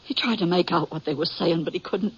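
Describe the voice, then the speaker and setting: Heartbroken american frontier widow, husky n choked up, repeats herself, dull old radio sound. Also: female voice, fairly low pitch, a little fast. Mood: grieving, distraught. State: tearful. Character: grieving middle-aged frontier widow. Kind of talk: old-time radio western acting. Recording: muffled vintage radio recording.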